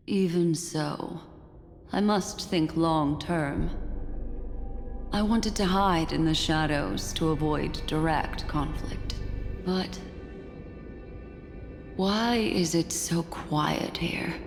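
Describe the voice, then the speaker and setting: A mysterious, curious seer who speaks in slow, measured phrases with a slightly low voice. Her lines ring with long reverb over game music. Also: full character voice. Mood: intrigued. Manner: slow, measured, intrigued musing.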